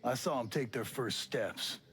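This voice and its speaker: An actor playing a bitter, weary, middle-aged or older settlement leader in a video game cutscene, heavy and accusing. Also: male voice, mid-range voice, measured pace, noisy background, close to an everyday voice. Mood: bitter, grieving.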